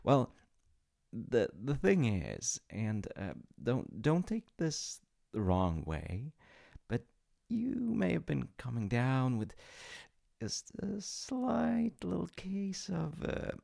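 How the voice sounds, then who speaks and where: A man's voice: mid-range voice, wildly swooping pitch, takes it slowly, creaky, darkish tone, heavily acted. A man playing an awkward, chatty demigod of reincarnation in a comedic audio roleplay, stammering and apologetic as he talks to the listener. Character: flustered, chatty male demigod in charge of reincarnation.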